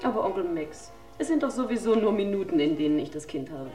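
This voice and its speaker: Lowish young woman actress as a tired polite but firm widow, radio drama, echoey, faint music behind. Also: lively intonation, quick pace, warm tone, close to an everyday voice. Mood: placating. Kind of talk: German radio crime drama acting.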